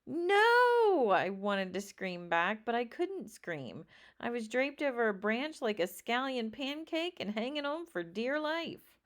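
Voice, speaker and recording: Woman, children's read-aloud, very lively intonation, unhurried pace, dramatic emphasis. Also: fairly high pitch. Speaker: storybook reader.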